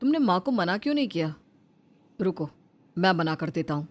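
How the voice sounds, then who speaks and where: Indignant young husband acted by a woman, animated. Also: fairly low pitch, normal speed. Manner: scolding, accusing, emphatic. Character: indignant, protective young husband, hot-tempered son. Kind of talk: melodramatic Hindi moral-story cartoon acting.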